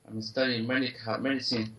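A man's voice: mid-range voice, level tone, fairly quick, sharp tone, poor audio. A young Kenyan man, native Swahili speaker, answering casually and briefly over a video call. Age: young adult. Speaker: medical student caller.

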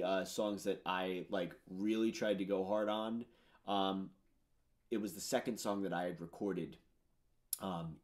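A man in his thirties with a fairly low voice talks through his own music in a loose, rambling way. He leans on fillers and gets excited as he goes. He sits in a home studio, speaking to a camera. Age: 30s. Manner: rambling, filler-heavy, enthusiastic. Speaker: musician discussing his album. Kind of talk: casual commentary on his own songs. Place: home studio with purple lighting.

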